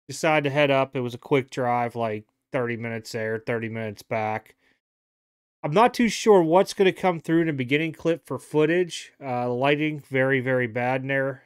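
A man's voice: mid-range voice, clean tone. A man from Ohio talking casually about cards he picked up, rambling and correcting himself as he goes. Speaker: card dealer and vlogger. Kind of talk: casual vlog showing trading cards. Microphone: desk mic, off camera.

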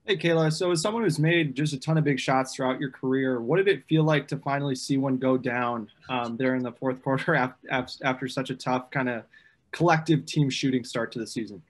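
Brief: male reporter, mid-range voice, friendly halting questions. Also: medium pitch. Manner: conversational, slightly halting. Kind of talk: press conference questions.

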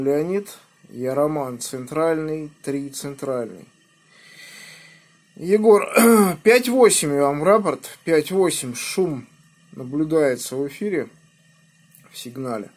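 Slow-speaking man, fairly high voice. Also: a little mellow, breathy edge. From Moscow region, Russia. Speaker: amateur radio operator. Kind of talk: ham radio contact, spelling out callsigns phonetically. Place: indoors at a home radio desk by a window. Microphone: phone filming the radio.

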